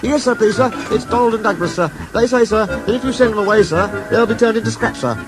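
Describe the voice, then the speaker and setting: A man putting on a timid little storybook engine, high squeaky voice, stammering, with music playing behind. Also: lively intonation, fast. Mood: nervous pleading. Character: nervous young small tank engine.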